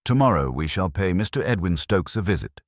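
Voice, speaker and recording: A man voicing a calm, shrewd, philosophical police inspector in a detective story, speaking in a measured, grave way. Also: deep voice, dry recording, studio quality, pitched above the performer's own voice, heavily acted. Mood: measured, reflective. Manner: measured, aphoristic, quietly grave. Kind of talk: detective audiobook character reading.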